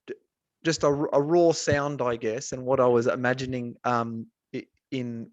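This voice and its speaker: A man from Australia talking casually in a webinar, pausing often with fillers and restarts, speaking from an office building. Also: medium pitch, dry recording, low-quality recording. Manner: hesitant, many restarts and fillers. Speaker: researcher.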